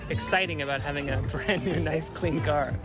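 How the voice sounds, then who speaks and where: A man's voice: fairly high pitch, normal speed, over a noisy crowd. A man hosting casual street interviews outdoors at a parade, friendly and laughing often. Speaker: podcast host interviewing parade participants. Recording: music playing behind.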